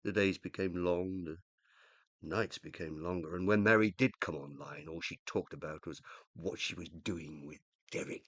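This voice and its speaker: An actor playing a dead scientist husband in a radio play, middle-aged and British, pompous, plaintive and indignant. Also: male voice, sits low, moderate pace, a bit bright, dry recording. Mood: wounded, wistful. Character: dead scientist husband living as an uploaded consciousness, needy, indignant. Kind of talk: radio play dialogue and monologue.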